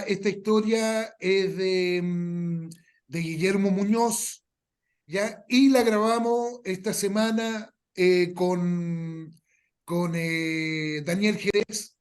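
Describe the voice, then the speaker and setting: A man hosting an informal workshop, speaking hesitantly in short phrases with pauses. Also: sits high. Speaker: workshop host and writer.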